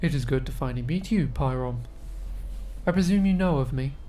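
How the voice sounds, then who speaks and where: Woman playing a low-voiced, commanding senior oracle. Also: lively intonation, background noise. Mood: formal, composed. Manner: formal, measured, quietly menacing. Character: commanding senior oracle, smooth, coldly authoritative superior. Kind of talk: fantasy audio drama acting.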